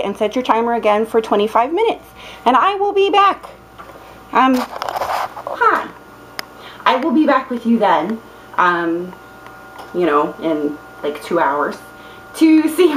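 A chatty young woman walks through a recipe at home, filling gaps with ums and little cheers. Her voice sits a bit high and leaps around a lot. A faint hiss runs under the recording. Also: rough recording. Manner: bubbly, chatty, lots of ums and playful exclamations. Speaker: cooking vlogger. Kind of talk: casual cooking demonstration. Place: dim home kitchen. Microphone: camera held close, filming her hands.

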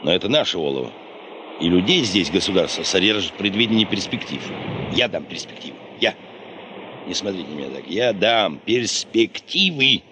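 An actor playing a stubborn, domineering geology boss in a 1970s Soviet radio play, speaking emphatically. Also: male voice, low-pitched. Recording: noisy 1970s radio play, engine hum.

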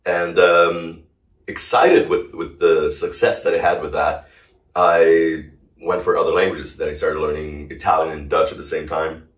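A man's voice: extremely low pitch, fairly even intonation, unhurried. A man in his thirties from Brazil, a native Portuguese speaker, telling stories casually as an interview guest. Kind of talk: casual conversational storytelling. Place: indoor living room, on a sofa. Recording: roomy, distant microphone.

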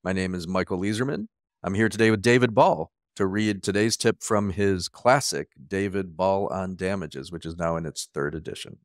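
Older man, very low-pitched host. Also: moderate pace, no echo, clean studio sound. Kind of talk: scripted introduction. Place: office, on a video call. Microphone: headset microphone.

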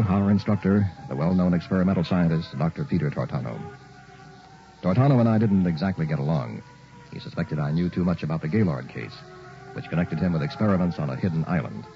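An actor playing an earnest, suspicious young medical student in a grave, measured 1960s radio drama style. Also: male voice, low-pitched, expressive. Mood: grave, brooding. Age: young adult. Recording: muffled old radio recording, loud music behind.

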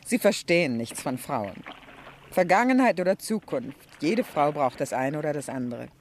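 A worldly, sceptical lady in a radio play. She speaks wryly and knowingly, with lively swings of pitch, over clear background noise. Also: moderate pace. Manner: wry, knowing. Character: worldly, teasing lady friend, sceptical and shrewd. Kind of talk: German radio drama acting.

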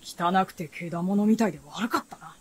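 An actor as a guarded, husky-voiced proud boy. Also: mid-range voice, fairly quick, close to an everyday voice. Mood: sullen, matter-of-fact. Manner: blunt, curt boyish speech. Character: guarded, proud teenage boy, secretly of royal birth. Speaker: radio drama actor. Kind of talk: period fantasy radio drama acting.

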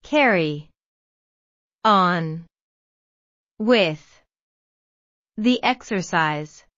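A woman modelling English phrases for learners, repeating each one slowly and then word by word. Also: lowish voice, expressive, fairly bright tone, dry recording. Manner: very slow, carefully enunciated. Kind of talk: slow drill reading, word by word. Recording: dull, slightly lo-fi sound.

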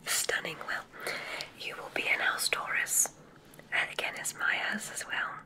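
A woman whispering softly in an ASMR roleplay as a kindly witch examiner at a magic school, speaking in a soothing, deliberate, formal way. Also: low-quality recording. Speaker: ASMR performer.

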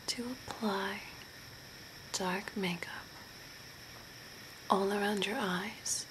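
An attentive, macabre zombie makeup artist, acted by a young woman, whispers in an ASMR role play. The delivery is slow and soft, with long pauses. There is noticeable hiss and ambience behind the voice. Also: mid-range voice. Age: young adult. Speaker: ASMR performer. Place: small curtained room indoors.

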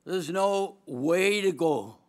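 A man's voice: high-pitched, takes it slowly. An older man with a Swedish accent preaching at a lectern microphone in a large auditorium, telling his story with humor and frequent laughter. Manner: lively, humorous, laughs often, gestures broadly. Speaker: chapel speaker, former dean. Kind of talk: storytelling chapel sermon.

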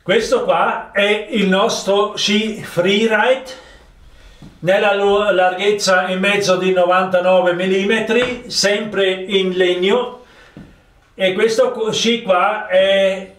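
A man in his fifties with a South Tyrolean accent, presenting products in a halting, plain-spoken way, recorded from a distance in a roomy space. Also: high voice, steady tone, fairly bright tone. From South Tyrol, Italy. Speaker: ski maker presenting own products. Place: small wood-panelled rooms of an old farmhouse.

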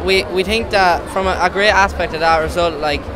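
A man's voice: high-pitched, a little fast, a crowd in the background, noisy background. Stumbling Irish teen boy. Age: teens. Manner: stumbling, uses like. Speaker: student exhibitor.

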